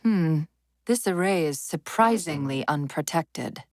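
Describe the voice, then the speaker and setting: A woman voicing a video game's female AI companion, an urgent, wry military guide with crisp, technical, commanding delivery. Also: deep voice, animated, unhurried, darkish tone, no room sound, clean studio sound. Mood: dry, wry. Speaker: video game voice actor. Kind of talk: video game dialogue, tactical callouts.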